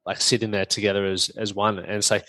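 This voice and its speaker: A man hosting a podcast, relaxed, upbeat and chatty. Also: fairly low pitch, fast talker, dry recording, low-quality recording. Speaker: podcast host. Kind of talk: casual conversational interview.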